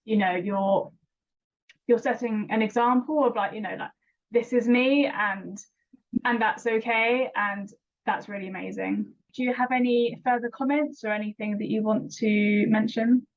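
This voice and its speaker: A woman's voice: a little high, steady tone, moderate pace. Stammering young woman interviewer. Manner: stammers, with repeated words and frequent fillers. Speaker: interviewer and regular vlogger. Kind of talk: supportive interview chat. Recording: dull lo-fi recording.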